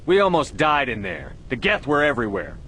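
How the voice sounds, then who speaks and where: A man's voice: medium pitch, sing-song, brisk, vocal fry, sharp tone, slight background noise, exaggerated voice. A man playing a stern, authoritative starship commander in a video game, blunt and commanding. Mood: defensive, firm.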